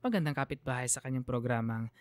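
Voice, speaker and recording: A woman's voice: extremely low pitch, fast. A woman radio storyteller reading a scripted, sentimental narration. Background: piano music under the voice.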